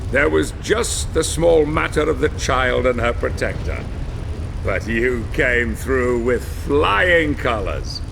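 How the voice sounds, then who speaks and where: Game cutscene villain: older treacherous sorcerer, theatrical and gloating, creaky voice, very measured pace. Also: male voice, mid-range voice, expressive, background noise. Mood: smug, gloating. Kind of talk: dramatic video game cutscene acting.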